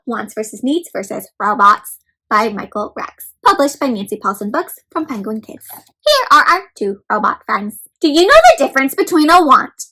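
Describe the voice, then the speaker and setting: A woman doing an animated, interactive picture book read-aloud for children, full of questions to the listeners. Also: sits high.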